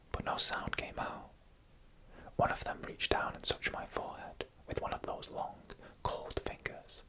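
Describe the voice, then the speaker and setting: A narrator telling eerie stories in a breathy, unvoiced whisper, in deliberate short phrases for ASMR. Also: rough recording. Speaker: ASMR storyteller.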